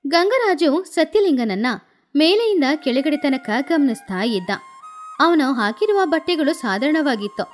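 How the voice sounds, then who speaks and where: A woman narrating a scripted moral story with expressive, animated delivery. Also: high-pitched, unhurried, soft background music.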